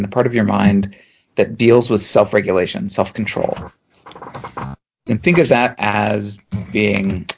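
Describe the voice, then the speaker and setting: A man, a professor co-hosting a podcast, explaining ideas in a measured way with dry humour. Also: fairly low pitch, vocal fry, slightly rough, highs cut off. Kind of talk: explanatory chat.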